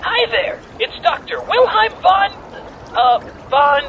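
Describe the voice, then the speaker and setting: An actor playing a dim, forgetful, nervous doctor with a dithering, hesitant delivery in a comedic audio drama. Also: male voice, extremely high pitch, big pitch swings, slow pace, a bit bright, full character voice. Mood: dim worry. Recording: muffled lo-fi audio with faint noise.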